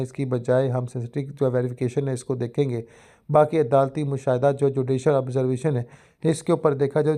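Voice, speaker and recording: Mellow Pakistani man giving a legal lecture. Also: medium pitch. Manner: formal, didactic, mixes in English legal terms. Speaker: law lecturer. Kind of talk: explanatory legal lecture, part read from notes.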